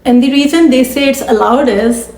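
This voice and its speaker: A woman lays out her case in earnest, firm commentary, stopping to reason through each point. Her voice sits somewhat high. The room around her gives a clear echo. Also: normal speed, darkish tone. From Pakistan. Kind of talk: opinion commentary to camera.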